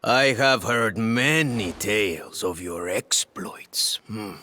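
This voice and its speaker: An actor playing a proud, fiery old knight in a video game, with a gravelly, theatrical delivery. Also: male voice, takes it slowly, pushed and bright, big-room sound, slight background noise. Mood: jovial, fond. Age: older. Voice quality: gravelly, husky. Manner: booming, chuckling, grandly theatrical. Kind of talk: video game character acting.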